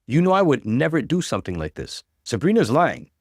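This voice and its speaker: A man's voice: medium pitch, wildly swooping pitch, brisk, no echo, clean studio sound, voice pushed up. Man acting an emphatic, desperate accused husband. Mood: desperate pleading. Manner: emphatic, protesting. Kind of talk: dramatic story reading.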